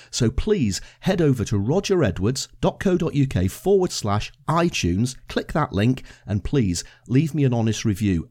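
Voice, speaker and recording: A man's voice: fairly low pitch, a little mellow. A man from the UK hosting a podcast interview over a video call, friendly and promotional, asking open questions. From United Kingdom. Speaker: podcast host.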